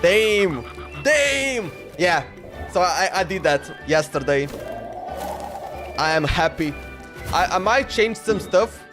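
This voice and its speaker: High-pitched man chatting on a livestream. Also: measured pace, pauses often, music in the background. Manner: informal, repeats himself. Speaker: live streamer. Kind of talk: casual game livestream chat and reactions.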